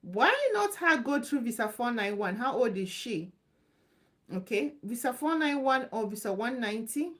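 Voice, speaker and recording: A woman's voice: fairly high pitch, big pitch swings, normal speed. A woman hosting a live Q&A stream, talking loosely and reassuringly, repeating herself as she answers viewers' questions. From Nigeria. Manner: chatty, loose and repetitive, reassuring. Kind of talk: casual live Q&A.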